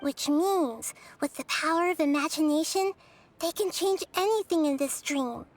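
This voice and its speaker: A woman voicing a gentle, wise, childlike young goddess in a video game, speaking softly in a measured, thoughtful, explanatory way. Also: sits high. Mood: thoughtful, instructive. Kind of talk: English video game dub acting.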